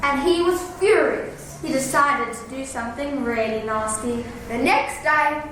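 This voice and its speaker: High young-sounding voice narrating in echoey room. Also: a little slow, fairly bright tone. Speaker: student narrator in a readers theatre. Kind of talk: scripted storytelling, read aloud. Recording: very echoey room, distant mic, noisy.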